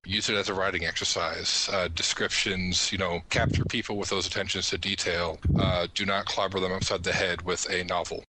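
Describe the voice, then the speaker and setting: A man's voice: low voice, flat delivery, fairly quick, hardly any pauses, very noisy. A man on a podcast panel, giving explanatory advice in conversation.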